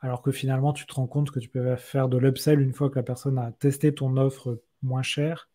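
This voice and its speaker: Relaxed, mellow male podcast interviewer. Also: mid-range voice, a little fast. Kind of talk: casual conversational interviewing.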